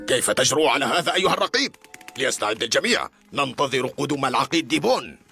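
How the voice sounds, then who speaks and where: A man playing an excitable, authoritative army captain in a dubbed cartoon, swinging from stern to impressed. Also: mid-range voice, fast, vocal fry, soft background music. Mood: indignant. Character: commanding military captain, excitable, admiring of inventions.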